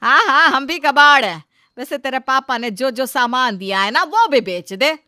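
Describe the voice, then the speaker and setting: A woman's voice: sits high, animated, normal speed, pushed and bright, dry recording, clean studio sound. A woman playing a bossy, sentimental middle-aged mother-in-law in a comic family story, scolding with dramatic sarcasm. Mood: sarcastic, indignant.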